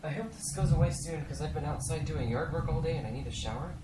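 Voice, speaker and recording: A man's voice: on the high side, fast talker. A man giving casual, rambling commentary to camera as an enthusiastic radio hobbyist, chatting with friends. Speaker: video creator reviewing weather radios. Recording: noisy amateur recording with storm ambience.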